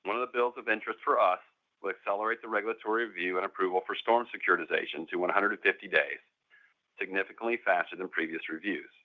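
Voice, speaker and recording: A man with a fairly low voice comes through a narrow, phone-like line. He reads scripted corporate remarks in a formal, measured manner, as an executive addressing investors on a conference call. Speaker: company executive presenting.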